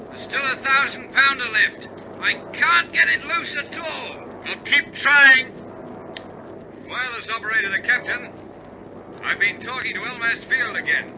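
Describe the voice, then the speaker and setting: A man's voice: very high voice, exaggerated voice. A man playing an RAF bomber crewman in an old-style radio drama, speaking in a clipped, urgent, stoic military way. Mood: weary, businesslike. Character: male RAF bomber crewmen in tense wartime flight. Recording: noisy old recording, loud aircraft engine drone. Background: aircraft engine roar.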